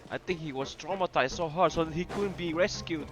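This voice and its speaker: A man's voice: on the high side, a little fast. A man giving casual commentary as he plays a video game. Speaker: gamer. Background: game music.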